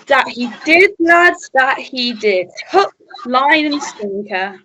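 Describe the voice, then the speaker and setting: Woman playing a slow, high-voiced police sergeant. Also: heavily acted. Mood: grave. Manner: deliberate, calming, halting delivery. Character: steady, level-headed police sergeant rallying constables. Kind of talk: melodrama radio play acting. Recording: dull lo-fi recording.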